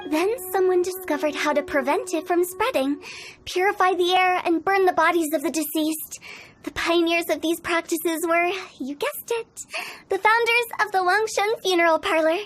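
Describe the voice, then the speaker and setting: Impish, very high-pitched young funeral director. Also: female voice, normal speed, a bit bright. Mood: storytelling, confident. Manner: theatrical, teasing, chatty, self-assured. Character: eccentric, mischievous young female funeral parlor director. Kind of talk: video game character acting.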